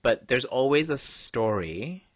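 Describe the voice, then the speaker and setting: A young man from China who grew up in Canada, co-hosting a casual podcast chat with a halting, restart-heavy way of talking and easy laughs. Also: mid-range voice, very animated, dry recording. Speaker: podcast co-host.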